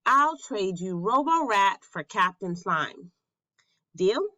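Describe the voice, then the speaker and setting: A woman voicing an eager, pleading little boy mouse in a squeaky, excitable way for a children's storybook. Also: takes it slowly, dry recording, full character voice.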